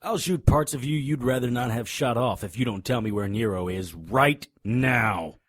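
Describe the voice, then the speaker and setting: An actor playing a tough, wisecracking monster hunter in an audio drama, grim and cocky, with a husky voice. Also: male voice, medium pitch, normal speed, no room sound, lightly acted. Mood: menacing. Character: hard-boiled male monster hunter, grim, cocky, threatening. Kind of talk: audio drama acting with noir first-person narration.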